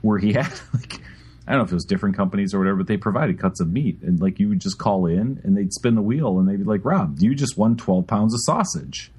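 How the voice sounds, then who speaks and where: A man's voice: on the low side, animated, fast talker, a little mellow, breathy edge. A man co-hosting a podcast, rambling, interrupting himself, and laughing between words. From Chicago area. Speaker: podcast co-host. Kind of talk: casual podcast chat.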